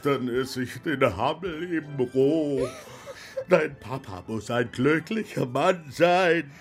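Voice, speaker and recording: An actor playing a blustering, sentimental puppet master in a children's radio play, theatrical and swinging between menace and tears. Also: male voice, on the high side, takes it slowly. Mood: sentimental, moved.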